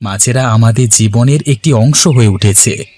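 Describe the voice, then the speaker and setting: A man playing an earnest, grateful villager in a cartoon, talking in formal, measured speeches. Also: medium pitch, a little mellow, no echo, lightly acted. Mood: appreciative. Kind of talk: scripted cartoon dialogue.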